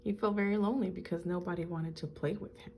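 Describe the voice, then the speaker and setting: A woman reading a picture book aloud to children in an expressive, teacherly way, explaining things simply. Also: on the low side, fast talker, slight background noise.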